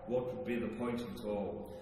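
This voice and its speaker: Audio drama detective, male actor: knowing, superior, lowish voice, heavy echo over a noisy recording. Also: steady tone, dark tone, close to an everyday voice. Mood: cool, challenging. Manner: condescending, deliberate. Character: cool, knowing, arrogant male detective confronting his nemesis. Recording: very echoey, distant, noisy hall.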